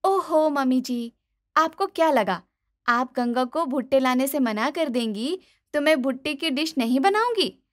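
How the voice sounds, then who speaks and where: A woman's voice: sits high, animated, a little slow, a bit bright. A woman voicing a stubborn young daughter-in-law with whiny, dismissive protests in a cartoon moral story. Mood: breezy, defensive. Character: stubborn, breezy young daughter-in-law who loves corn.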